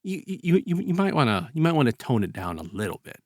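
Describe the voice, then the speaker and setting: A man's voice: on the high side, big pitch swings, fairly quick, darkish tone, no echo. American man in dry, close sound, reflective. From South Texas, United States (lives there). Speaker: podcast co-host. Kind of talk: casual discussion.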